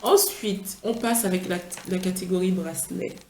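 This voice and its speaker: A woman's voice: lowish voice, normal speed, slight background noise. Chatty, tired woman in an echoey room. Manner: chatty, playful, gushing with enthusiasm. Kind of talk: casual product-review vlog.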